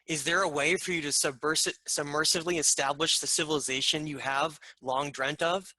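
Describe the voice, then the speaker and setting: A man's voice: fairly high pitch, fairly even intonation, brisk, bright, forceful tone, rough recording. A man playing a principled, questioning alien goddess in a screenplay read-through, speaking formally and earnestly. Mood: respectful, questioning. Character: principled, questioning alien goddess sister, respectful yet challenging.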